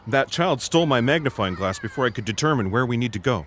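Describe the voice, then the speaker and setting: A man's voice: mid-range voice, lively intonation, fast, a bit bright, slight background noise, close to an everyday voice. An actor playing an earnest, literal-minded alien man with formal, precise diction in an audio drama. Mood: matter-of-fact. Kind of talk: scripted audio drama acting.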